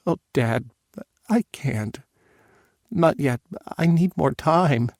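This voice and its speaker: A man voicing a tearful, apologetic young adult daughter, speaking in a soft, shaky, pleading way. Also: mid-range voice, normal speed, vocal fry, dry recording, studio quality, pitched above the performer's own voice.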